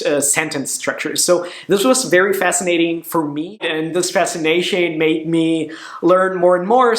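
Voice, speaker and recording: Young male vlogger, from southern Brazil, friendly and upbeat, slightly high voice, roomy echo. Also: a bit bright. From Curitiba, southern Brazil. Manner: chatty, upbeat, switches languages between sections. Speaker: language vlogger. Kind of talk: casual direct-to-camera vlog.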